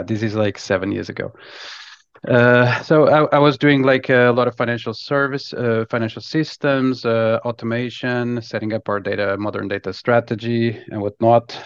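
Guy in his 40s, casual podcast guest, creaky flat voice, dry muffled audio. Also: medium pitch, dry recording. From Lisbon, Portugal. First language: Portuguese. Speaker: podcast guest, technology executive. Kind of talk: casual interview talk.

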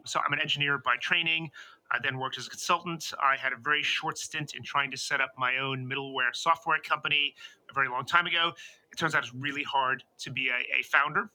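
Bright, animated American man. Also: on the high side, low-quality recording. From United States. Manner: enthusiastic, expansive, animated. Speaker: venture capital investor, podcast guest. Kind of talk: conversational interview.

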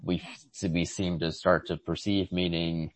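Deep male voice, dry close recording, podcast co-host, halting, casual literary talk. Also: level tone, no echo. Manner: halting, searching for words.

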